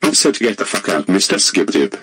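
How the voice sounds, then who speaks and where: A man voicing a hot-tempered, rich boss who swears and shouts indignantly in amateur voice acting. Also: fairly low pitch, sing-song, a little fast, breathy edge, dry recording, exaggerated voice. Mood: furious.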